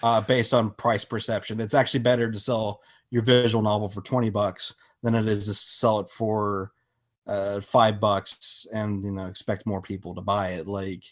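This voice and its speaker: A man with a fairly low voice talks casually on a podcast. He explains his points matter-of-factly, in halting stretches. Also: level tone, a bit bright.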